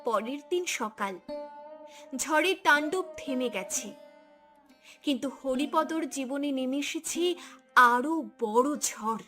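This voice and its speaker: High-voiced woman narrating a sad story. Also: animated, slow pace, long pauses, fairly bright tone, faint music behind. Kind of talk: dramatic scripted story narration.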